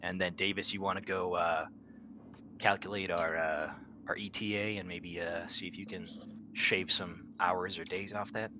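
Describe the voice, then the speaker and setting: A man's voice: low voice, fairly even intonation, normal speed, noisy background. A man playing a tabletop roleplaying game, chatting casually in character with a laid-back, colloquial manner.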